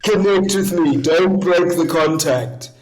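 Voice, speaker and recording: A comedian voicing a self-styled male spiritual guru, speaking slowly and solemnly as if giving blessings. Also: on the high side, pitched above the performer's own voice, low-quality recording. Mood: serene, beatific. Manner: slow, intimate, solemn blessing tone. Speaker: comedian in character.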